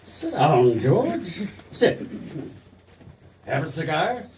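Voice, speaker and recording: Man playing wealthy old villain, unhurried, swooping intonation, smug and condescending, radio drama, distant mic. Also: a little high, wildly swooping pitch, darkish tone, full character voice. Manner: smug chuckling, deliberate, condescending. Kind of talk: 1940s radio drama acting. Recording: distant stage mic, faint noise.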